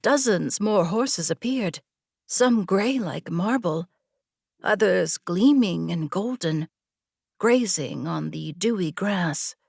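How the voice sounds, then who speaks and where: A woman narrating scripted fiction with a measured, deliberate storytelling delivery. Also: on the low side, animated, gravelly, darkish tone, breathy edge, dry recording, clean studio sound. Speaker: audiobook narrator.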